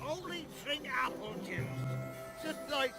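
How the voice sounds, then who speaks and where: Tipsy village man, jolly, game cutscene, creaky n slow, music blaring. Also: extremely high pitch, expressive, heavily acted. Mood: jovial, wary. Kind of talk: comic video game cutscene acting. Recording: loud music over the voice.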